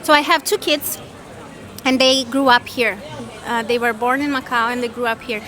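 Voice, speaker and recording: A woman's voice: fairly high pitch, steady tone, moderate pace, bright, forceful tone. A middle-aged woman from Brazil, chatting casually as an interviewee outdoors.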